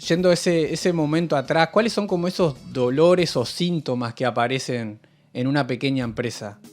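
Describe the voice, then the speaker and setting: A man with a fairly high voice hosts a podcast interview. He is friendly and quick to laugh. Faint music plays in the background. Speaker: podcast host.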